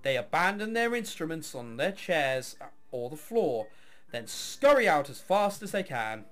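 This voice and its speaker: Male let's player, high voice, very lively intonation, dramatic story reading with wry commentary, music behind. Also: unhurried. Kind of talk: reading game text aloud with casual commentary.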